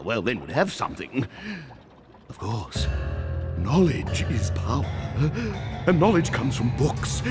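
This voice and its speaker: A theatrical crocodile monarch, villainous and full of himself. The pitch leaps extravagantly between a low purr and shrill heights, and the delivery is drawn-out and grandiloquent. Cartoon score plays clearly behind the voice. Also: male voice, full character voice. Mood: smug, musing. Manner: pompous, grandiloquent, exasperated with underlings. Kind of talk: exaggerated cartoon villain acting. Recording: cartoon soundtrack with music behind.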